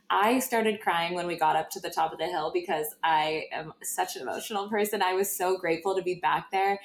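Gushing woman podcaster in an echoey room. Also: fairly low pitch. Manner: gushing, emphatic, conversational. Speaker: podcast host. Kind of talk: casual podcast storytelling. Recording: roomy, echoey sound.

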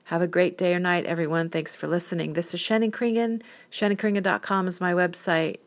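Brief: woman, fifty, solo host, wandering spiritual musings, a little lower-pitched than most. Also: steady tone, brisk. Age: 50s. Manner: dreamy, rambling, spiritual musings. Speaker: podcast host. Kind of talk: reflective solo monologue.

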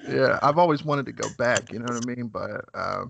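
A man in his thirties raised in West Virginia, hosting a podcast with a nervous, halting manner and lots of "man" and "you know what I'm saying". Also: medium pitch, gravelly, fairly bright tone, slight background noise. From West Virginia, USA. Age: 30s. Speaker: podcast host. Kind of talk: casual interview.

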